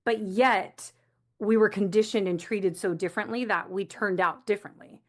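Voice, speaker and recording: A woman's voice: mid-range voice, normal speed. Reflective woman explaining in conversation. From United States. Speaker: guest, coach for first-generation community. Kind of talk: conversational interview answers.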